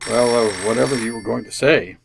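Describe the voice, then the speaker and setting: Woman playing a low-voiced, flustered radio father. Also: a little fast, vocal fry, heavy background noise. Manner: formal, flustered, placating. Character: exasperated, polite family father hosting dinner. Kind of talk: old-time radio comedy acting.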